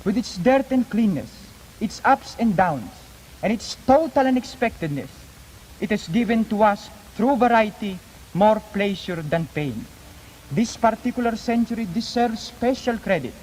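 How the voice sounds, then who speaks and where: A young man, an exchange student, delivering an earnest, formal prepared speech in measured rhetorical phrases. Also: high voice, lively intonation, a little mellow, husky. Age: young adult. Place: large reverberant hall. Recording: 1950s archive, echoing hall, background noise.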